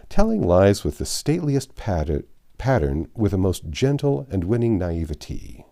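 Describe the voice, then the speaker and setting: A man narrating a classic novel aloud in an expressive storybook style, savouring phrases with dramatic pauses. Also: lowish voice, gravelly. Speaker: audiobook narrator.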